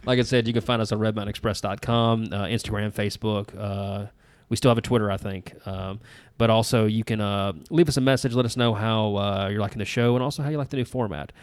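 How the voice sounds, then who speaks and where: Guy in his 30s, lowish voice, rambling folksy podcast chat, dry close sound. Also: steady tone, quick pace, hardly any pauses, clear, resonant tone, no room sound. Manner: rambling, folksy, self-deprecating. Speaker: podcast co-host. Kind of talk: casual chat.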